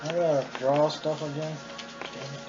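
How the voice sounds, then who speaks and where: A man's voice: on the high side, fairly even intonation, normal speed, music in the background. A man playing a tabletop game, chatting casually and joking with the group. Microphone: phone recording the group. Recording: noisy muffled phone recording of group.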